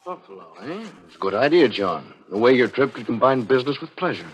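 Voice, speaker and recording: An actor playing a solicitous business partner in 1940s radio drama style. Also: male voice, medium pitch, sing-song, brisk, muffled recording, lightly acted. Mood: casual, solicitous.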